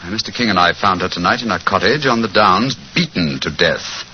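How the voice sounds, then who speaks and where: An actor playing a self-assured English detective in 1940s radio style, with a clipped, theatrical, commanding delivery. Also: male voice, low voice, lively intonation, fairly quick. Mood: grave, probing. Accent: British. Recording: noisy, muffled 1940s radio recording.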